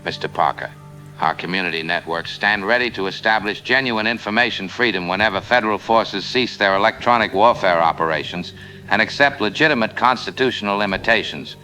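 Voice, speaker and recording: Forceful low-voiced rebel spokesman. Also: a little fast, faint music behind, low-quality recording. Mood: defiant, resolute. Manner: formal, rigid, declamatory. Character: unyielding rebel coalition spokesman, defiant, formal. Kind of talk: scripted audio drama political statement.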